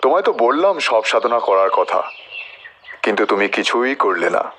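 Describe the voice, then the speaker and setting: A man playing a calm wandering holy man and tantric mentor, speaking in a measured, instructive way in an audio drama. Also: mid-range voice. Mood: calm, parting advice.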